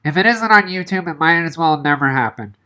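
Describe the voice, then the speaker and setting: Lady doing a nerdy know-it-all school kid from a storybook, defensive, bright pushy voice. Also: low voice, lively intonation, quick pace. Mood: indignant. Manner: pedantic, defensive. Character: self-important nerdy schoolboy, indignant and literal-minded.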